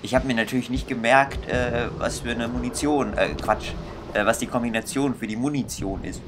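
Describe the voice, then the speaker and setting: A young adult man thinking aloud in casual gameplay commentary, musing and halting as he mutters to himself. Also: medium pitch, steady tone. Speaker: let's player. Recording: clear background noise.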